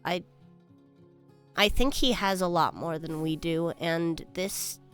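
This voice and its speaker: Low-voiced woman talking slowly. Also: a bit bright, faint music behind. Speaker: tabletop game player. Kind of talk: reasoning aloud in roleplay discussion.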